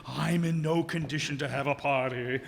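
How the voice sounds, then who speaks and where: A man's voice: fairly high pitch, steady tone, moderate pace, vocal fry, slight background noise. A man playing an exasperated, vengeful car owner in old-time radio comedy style, theatrical, wailing and blustering. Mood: simmering anger. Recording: reverberant hall stage recording.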